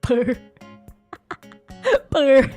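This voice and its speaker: A woman's voice: high voice, fairly even intonation, drawn-out words, warm tone. A young woman, chatting casually and playfully on a live stream, giggling often and rambling. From Brazil. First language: Portuguese. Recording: faint music behind.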